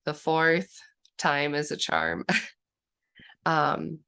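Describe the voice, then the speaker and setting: A woman's voice: low voice, big pitch swings, extremely slow, vocal fry, fairly bright tone, clean tone. A woman hosting a casual video who has a cold and is losing her voice, introducing her guest with bubbly enthusiasm. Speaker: host.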